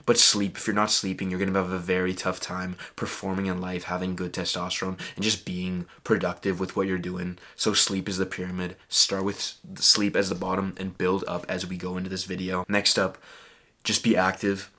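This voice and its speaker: A young man talking straight to camera about fitness, earnest and emphatic, leaning on "bro" and "literally." His voice sits low and stays fairly level in pitch, with a bright, forward edge. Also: completely flat delivery, moderate pace. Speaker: fitness vlogger. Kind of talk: casual motivational talk to camera.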